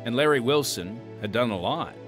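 A man's voice: mid-range voice, expressive, moderate pace, over music. A man hosting a ministry podcast, reading announcements with some ad-libbed asides. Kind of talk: scripted radio ministry announcements, partly ad-libbed.

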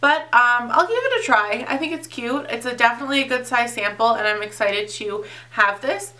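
A young woman talks in a chatty, informal vlog style. Her voice sits a bit high and is very bright, though slightly off as she gets over an illness. She is recorded indoors, a little away from the microphone. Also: animated, fairly quick, far from the mic, a little hiss or hum. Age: young adult. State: slightly off voice, getting over being sick. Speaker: beauty vlogger. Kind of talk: casual chatty unboxing vlog. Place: indoors, small home filming set.

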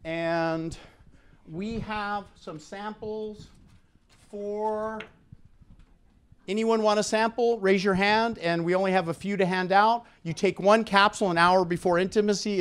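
Middle-aged male seminar speaker, slightly high voice, animated sales pitch to a room, handheld mic. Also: moderate pace, clean tone. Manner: animated, engages audience with questions and prompts. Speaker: expo speaker and product promoter. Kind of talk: promotional seminar talk to a live audience. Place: indoor expo conference room with seated audience. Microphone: handheld microphone.

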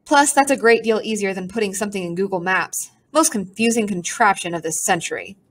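A woman's voice: medium pitch, brisk, lightly acted. A woman voicing a melodramatic, self-pitying talking pigeon with a pompous, theatrical manner. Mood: haughty. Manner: theatrical, sighing, haughty complaints.

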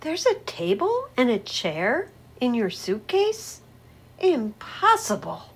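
A bossy fox from a children's storybook, read by a woman. It speaks bluntly and assertively with a slightly rough edge, full of distrust and accusation. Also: a little high, huge pitch swings, takes it slowly, voice pushed up, heavily acted. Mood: disbelieving. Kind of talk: storybook read-aloud character voice.

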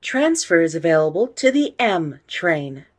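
A woman delivers scripted train announcements in a formal, measured manner. Her voice is low and husky, and she speaks slowly, in pieced-together phrases. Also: very animated.

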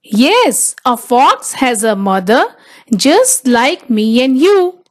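Woman, high-pitched, very animated, children's read-aloud narrator, deliberate phrasing. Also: noisy background. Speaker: storybook narrator.

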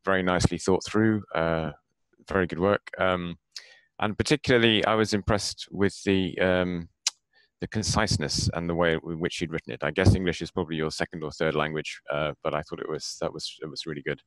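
Super low-voiced guy asking long polite questions, dry close sound. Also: fairly even intonation, fairly quick, no echo, rough recording. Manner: thoughtful, polite, rambling with fillers. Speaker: thesis examiner. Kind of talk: academic questioning.

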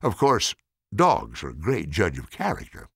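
A kind storybook orphanage lady, read by an older man, gentle and polite in manner. The delivery has wide swings of pitch and a dry, close sound. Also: huge pitch swings, unhurried, creaky, slightly rough, no echo, exaggerated voice. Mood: wry. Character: kind, gracious woman running an orphanage, storybook.

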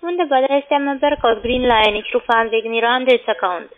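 On a thin phone line, a woman with a fairly high voice makes a sales call. Her phrasing is formal, clipped and non-native. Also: a little fast. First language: not German. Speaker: cold caller.

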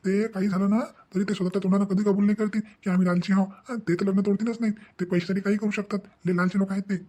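A man's voice: sits high, flat delivery, rapid-fire, mellow. A man voicing a disheartened, exasperated young family man in a cartoon, fretting and repeating himself as he rambles. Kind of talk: cartoon comedy-drama dialogue.

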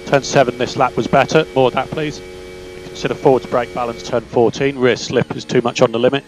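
A man working as a race engineer, giving calm, measured, clipped instructions over team radio. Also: medium pitch. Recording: noisy radio link with car hum. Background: car engine hum.

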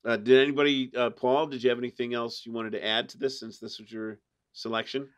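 A man hosting a casual review discussion, talking in a halting way as he searches for words. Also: mid-range voice, level tone, fairly bright tone, smooth. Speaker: podcast host.

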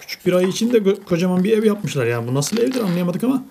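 A woman hosts casually at a table. She talks to a child and to viewers in a playfully exasperated way. The home recording is clearly noisy, with clicks. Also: lowish voice, sing-song, very fast, dark tone. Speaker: parent hosting a toy unboxing video. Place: indoors at a table.

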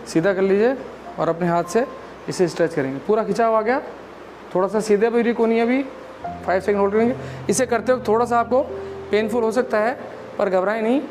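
A man in his thirties, a physiotherapist, calmly explaining exercises step by step in a clinic room, on a lapel mic. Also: high voice, slight background noise, low-quality recording. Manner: calm, instructive, explains step by step.

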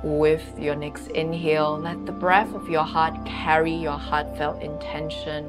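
Slow, low-voiced woman guiding meditation. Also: little pitch movement, music in the background. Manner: soothing, unhurried, with long pauses. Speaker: yoga nidra guide. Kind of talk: guided meditation.

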